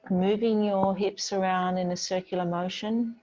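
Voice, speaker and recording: A woman yoga teacher giving gentle, unhurried guided relaxation and movement instructions in a soothing manner. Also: medium pitch, steady tone, muffled recording.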